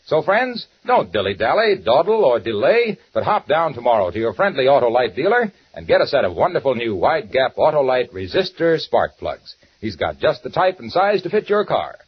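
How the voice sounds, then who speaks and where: A man's voice: medium pitch, sing-song, moderate pace, few pauses, husky. A man announcing and reading ad copy in an upbeat, salesy 1940s radio style, on a dull old recording with music.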